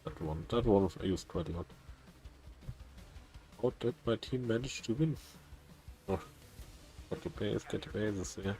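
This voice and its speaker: A man's voice: on the low side, lively intonation, mellow, soft background music. German man rambling on a game stream. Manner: rambling, halting, many restarts. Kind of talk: casual gaming chat.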